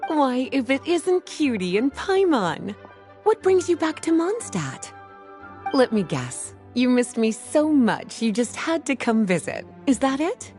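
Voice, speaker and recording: Flirty teasing game woman, drawn-out and lilting, coy, faint music behind. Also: sits high, very animated, a little mellow. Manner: languid, coy. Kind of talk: video game voice acting.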